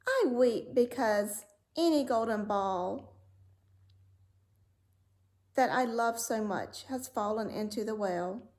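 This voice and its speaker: A woman's voice: a little high, takes it slowly, pauses often, darkish tone. A woman voicing a spoiled young princess in a storybook, girlish and exclaiming. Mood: tearful. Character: spoiled young princess, tearful, eager, secretly scornful. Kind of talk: storybook read-aloud character voice.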